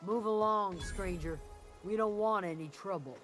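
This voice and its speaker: A woman's voice: medium pitch, measured pace, a bit bright, lightly acted. Woman playing a curt, folksy frontier guard. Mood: suspicious, curt. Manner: curt, folksy drawl, suspicious. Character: wary, gruff frontier camp guard. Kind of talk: video game character dialogue. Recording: music behind the voice.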